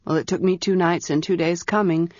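A woman voicing a calm, wry male wizard. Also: fairly low pitch, fairly quick, dry recording, close to an everyday voice. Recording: dry, slightly dull audiobook recording.

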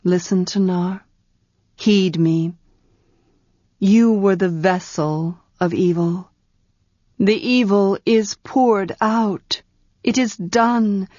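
A woman reading the part of a gentle, wise male wizard, who speaks in a quiet, soothing and measured way. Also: medium pitch, no room sound. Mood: earnest. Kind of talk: audiobook character reading. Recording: dull, muffled sound.

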